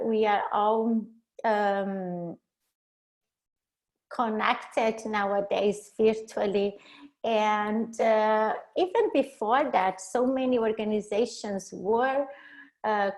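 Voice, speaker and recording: Slow Brazilian-accented woman presenting. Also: medium pitch, a little mellow, poor audio. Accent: Brazilian Portuguese. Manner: halting, restarts words and self-corrects. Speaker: leadership coach presenting a webinar. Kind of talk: webinar presentation.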